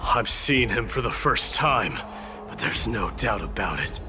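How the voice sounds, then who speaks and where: Game casting: proud stern warrior prince, low and unhurried, grave, over music. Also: male voice, expressive. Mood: grave, thoughtful. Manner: measured, grave, guarded. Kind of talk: video game voice acting.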